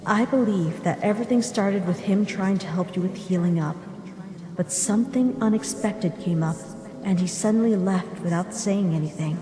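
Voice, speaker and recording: A woman voicing a gentle, wistful monster mother back from death, speaking tenderly and reflectively in a dramatic comic dub. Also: mid-range voice, normal speed, crowd noise, lightly acted. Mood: gentle, regretful. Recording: reverberant hall echo, music behind.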